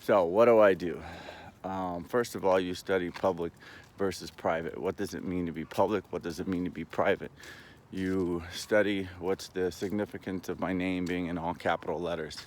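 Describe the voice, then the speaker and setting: A man talking in a casual, rambling monologue, halting but earnest and opinionated, as a vlogger. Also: deep voice, steady tone, slight background noise.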